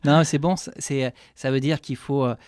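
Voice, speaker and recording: A man's voice: mid-range voice, fairly even intonation, normal speed, a little mellow. A man from France with a French accent, a chef answering radio interview questions candidly, with many hesitations and restarts.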